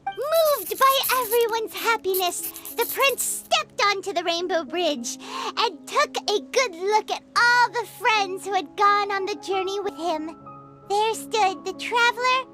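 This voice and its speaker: Casting: little cheerful fairy girl for a game, extremely high childlike voice, animated swoops, music behind. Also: exaggerated voice. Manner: childlike, exclamatory, theatrical storytelling. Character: Paimon, small floating fairy girl, excitable and cheerful. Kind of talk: English game voice acting.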